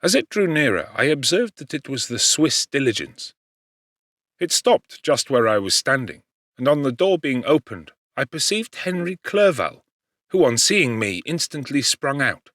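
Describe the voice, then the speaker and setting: A man reading literary narration as an audiobook actor, in an expressive, measured and theatrical manner. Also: medium pitch, no room sound, clean studio sound.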